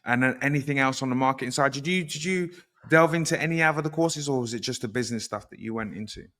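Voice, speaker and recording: A man with a British accent hosts a casual interview. His voice is average in pitch, and he asks in a chatty way, often repeating and rewording what he wants to know. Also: fast talker, fairly bright tone, big-room sound. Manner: repeats and rephrases questions.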